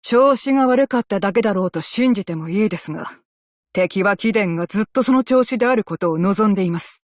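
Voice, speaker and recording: A woman's voice: medium pitch, lively intonation, no echo, studio quality, lightly acted. Woman acting a composed, formal female naval officer. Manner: formal, measured, military politeness, stern in commands. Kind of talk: scripted game character voice lines in Japanese.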